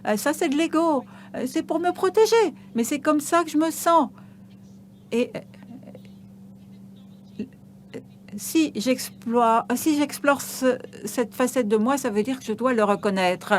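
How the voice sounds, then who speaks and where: A woman interpreting a stage conversation as it happens, her voice sitting a little high. She halts often, filling pauses and restarting phrases, and a faint noise runs underneath. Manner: halting, with many hesitations and restarts.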